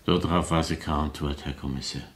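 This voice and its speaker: An actor playing an unrepentant murderer with an Austrian accent, brooding and blunt, in a radio crime drama. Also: male voice, a bass voice, steady tone, fairly quick, slight background noise. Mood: sullen, defensive. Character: confessing murderer, hateful, unrepentant, self-excusing.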